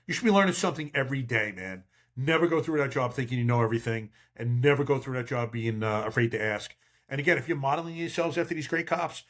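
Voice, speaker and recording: Blunt, streetwise older man giving advice. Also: medium pitch. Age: 50s or older. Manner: blunt, streetwise, emphatic, mild swearing. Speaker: podcast host, veteran police officer. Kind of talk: casual conversational advice.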